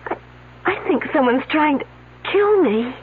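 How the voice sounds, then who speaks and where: A frightened young female student stammers and hesitates in a high, thin voice. Her words come haltingly. It is heard through a narrow, phone-like old radio recording. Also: expressive, slight background noise. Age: young adult. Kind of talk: 1970s radio drama acting.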